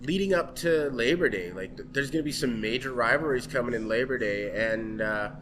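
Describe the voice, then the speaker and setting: A man from Canada co-hosting a casual sports talk show from a pub. He sounds easygoing and a little hoarse from a cold, and he chuckles along as he goes. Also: mid-range voice, brisk, background noise. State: slight cold. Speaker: host.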